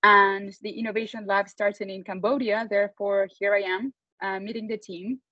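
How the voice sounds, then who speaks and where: A woman's voice: medium pitch, normal speed, dry recording, lo-fi sound. A young adult woman from Mexico City, a native Spanish speaker, chatting casually as a panel guest with fillers and small laughs. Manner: relaxed, frequent fillers and laughs. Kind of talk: casual online panel talk.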